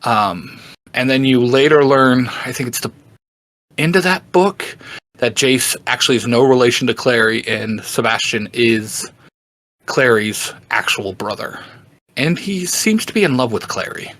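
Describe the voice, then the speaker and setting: A man's voice: medium pitch, animated, measured pace, fairly bright tone. A man chatting casually as a podcast guest, explaining story lore in an informal, enthusiastic way. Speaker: podcast guest, fantasy book fan.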